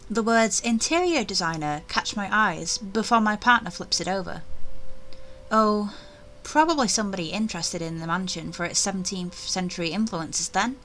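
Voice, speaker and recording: A woman reading a visual novel aloud in first person, animated and chatty, with offhand asides. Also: medium pitch, moderate pace, a little hiss or hum.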